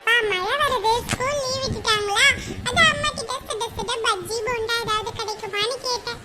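Woman doing a squeaky whiny little kid. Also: normal speed. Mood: pleading. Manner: pleading, whining, cheering. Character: excitable young boy and girl, whiny and greedy. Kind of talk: family comedy skit. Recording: loud background noise.

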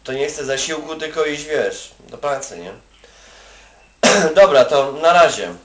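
A man from Poland with a fairly high voice talks casually, as if on a phone call. He rambles colloquially, adding little "you know" tags as he goes. The sound is very echoey and he is some distance from the microphone. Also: animated, slow pace, fairly bright tone. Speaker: Polish migrant worker advising an acquaintance. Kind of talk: casual one-sided phone chat. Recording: echoey room, distant from the mic.

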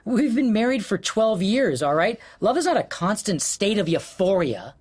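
An actor playing an exasperated, sarcastic husband in an audio drama, hurt and profane in a late-night argument. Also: male voice, sits high, big pitch swings, normal speed, husky, heavily acted. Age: 40s.

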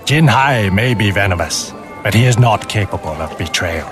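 An actor playing an earnest, honorable Chinese fleet captain with a measured, formal delivery in a game cutscene. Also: male voice, lowish voice, lively intonation, natural voice. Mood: grave, restrained. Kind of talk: dramatic game cutscene acting. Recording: game audio with music behind.

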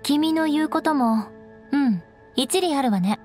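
A young noblewoman knight from an anime game with a high, expressively inflected voice. She is proud and stoic, speaking with measured formality and haughty composure over background music. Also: expressive, darkish tone. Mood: cool, haughty. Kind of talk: Japanese anime game voice acting.

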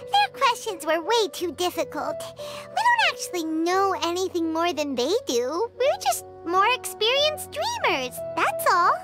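A woman voicing a small, excitable floating fairy girl companion in a video game, bubbly and talking about herself by name. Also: extremely high pitch, slow pace, few pauses, over loud music, full character voice. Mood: chirpy.

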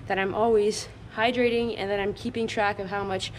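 A woman's voice: flat delivery, fairly quick. A woman in her thirties talking casually and matter-of-factly to her camera as a cyclist vlogging her training, upbeat and chatty. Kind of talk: casual first-person vlog. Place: outdoors on a racetrack and roadside. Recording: noisy outdoor action-camera audio, vehicle-like rumble.

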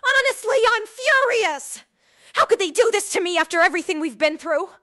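A woman's voice: very high-pitched, lively intonation, a little fast, pushed and bright. A woman delivering a dramatic, emotional acted monologue.

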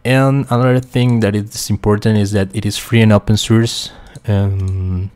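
A young man from Costa Rica with a Spanish accent, a QA engineer talking through a software tutorial with many fillers and restarts. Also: fairly low pitch, steady tone, measured pace, dry recording. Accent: Costa Rican Spanish. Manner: hesitant, many fillers and restarts, quietly talks to himself. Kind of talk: screen-share tutorial explanation.

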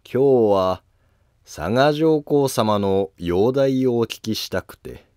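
A man's voice: medium pitch, animated, slow pace, darkish tone, no room sound, studio quality, voice pushed up. Man playing a sly, smug scheming nobleman. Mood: smugly polite. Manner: mock-courteous, needling. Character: sly, smugly polite scheming court nobleman. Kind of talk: Japanese historical animated drama acting.

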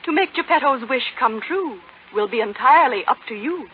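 An actress playing a gracious, solemn blue fairy in stately, formal 1930s radio style. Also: female voice, sits high, lively intonation, brisk, slight background noise. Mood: gentle, solemn. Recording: tinny 1930s radio broadcast with music.

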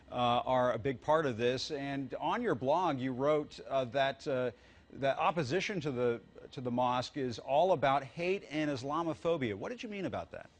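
An American man in his forties, a news anchor putting questions in a broadcast interview. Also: medium pitch. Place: TV news studio.

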